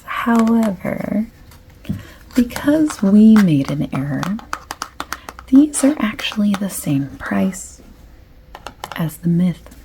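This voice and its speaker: A woman doing a soft-spoken ASMR shop assistant roleplay, often slipping into a breathy whisper as she explains things in chatty, unhurried detail. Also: mid-range voice, slight background noise, rough recording. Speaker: ASMR creator playing a perfume shop assistant. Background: light clicking and tapping of items.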